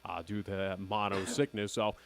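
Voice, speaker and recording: Young radio host guy, kinda raspy and nasal, lowish voice, says again like every line. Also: fairly even intonation, normal speed, fairly bright tone. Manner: rambling, keeps repeating "again". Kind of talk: casual radio chat.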